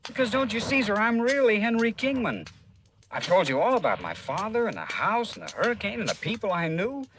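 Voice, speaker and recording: An eager, folksy young American drifter in old-time radio drama. He speaks plainly and respectfully, with animated swings of pitch. The old recording is noisy, with clicks. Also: fairly quick. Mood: earnest, pleading. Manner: eager, plain-spoken, deferential. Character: earnest, folksy young male drifter pleading his identity.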